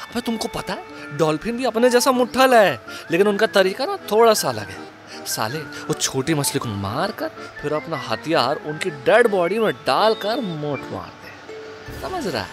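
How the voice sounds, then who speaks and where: A man acts out a crude, goofy sidekick from a horror-comedy audio drama. His fairly high voice swings widely in pitch, with a colloquial eastern Hindi belt accent. He teases and swears freely, over a clear music bed. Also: big pitch swings, measured pace. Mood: gossipy, mock-shocked. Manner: teasing, foul-mouthed, colloquial. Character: Prasad, crude wisecracking goofy sidekick to a ghost investigator.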